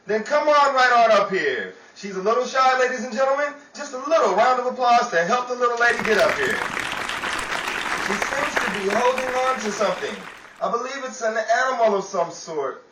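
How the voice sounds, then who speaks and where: Off-mic roomy recording, male actor as bumbling 1930s radio character, high bright voice, genial, stammering, showman patter. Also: expressive, brisk, a loud crowd. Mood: showy announcing. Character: eager, bumbling 1930s man, boxing trainer and quiz announcer. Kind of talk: 1930s radio comedy acting. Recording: roomy, distant, faint background noise.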